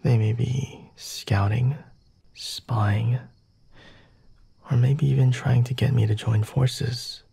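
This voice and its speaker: Casting: male actor as coy, aristocratic vampire master for ASMR roleplay. Husky half-whisper, gravelly fry, unhurried and formal. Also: medium pitch, fairly even intonation, warm tone, no room sound, exaggerated voice. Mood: smooth, teasing. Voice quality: breathy, husky, often whispering. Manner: formal, teasing, measured and deliberate. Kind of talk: ASMR roleplay, addressing the listener.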